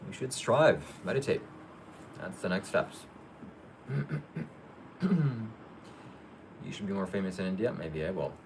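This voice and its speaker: Low-key man answering chat questions. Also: expressive, takes it slowly, creaky, long pauses, a little hiss or hum. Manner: reads viewer questions aloud, answers briefly; matter-of-fact, low-key. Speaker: livestream host. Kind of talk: casual live Q&A.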